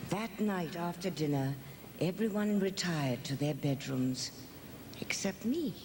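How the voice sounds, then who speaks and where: A woman's voice: lowish voice, expressive, unhurried. A woman playing a curious young daughter who narrates a scripted storybook ghost tale. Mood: storytelling. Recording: loud background noise.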